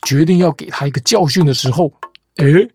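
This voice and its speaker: A man's voice: a little high, fairly quick, a little mellow, slight background noise. A man hosting a podcast, retelling fairy tales with playful, teasing commentary. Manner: playful, teasing, mock-reasonable. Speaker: podcast host. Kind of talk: storytelling commentary. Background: guitar music.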